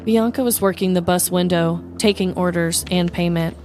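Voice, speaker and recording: A woman's voice: lowish voice, steady tone, moderate pace, darkish tone, slight background noise. An American woman narrating a scripted comedic true-crime story as a podcast host.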